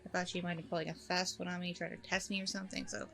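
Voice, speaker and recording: A woman's voice: fairly low pitch, flat delivery, very fast, gravelly, fairly bright tone. A woman playing a friendly, nervous single mom on a blind date in an ASMR roleplay, rambling casually in a bubbly way. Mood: relieved. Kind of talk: ASMR audio roleplay, conversational.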